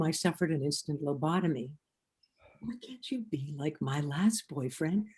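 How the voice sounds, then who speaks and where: A woman's voice: medium pitch, darkish tone, slightly rough. An actress playing an anxious, witty woman who overthinks every word with dry asides, in a stage comedy. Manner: wry, overthinking, quick asides. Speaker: actor in a one-act play. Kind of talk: stage comedy inner monologue. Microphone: video call.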